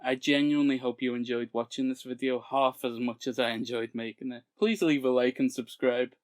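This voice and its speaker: A man hosting a comic TV recap vlog, giggly and self-mocking, on a makeshift low-grade mic. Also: steady tone, a little fast, no room sound. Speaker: YouTube TV reviewer.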